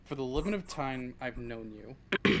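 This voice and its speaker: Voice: mid-range voice, expressive, moderate pace, background noise. An interview guest chatting casually on a livestream, joking and self-deprecating, with some swearing. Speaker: interview guest, script writer.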